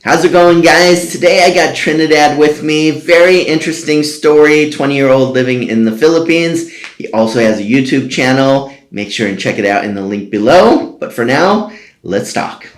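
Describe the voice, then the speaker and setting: A middle-aged man hosting a casual interview, relaxed and joking. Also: fairly high pitch, normal speed. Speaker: host. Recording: roomy echo, faint music behind.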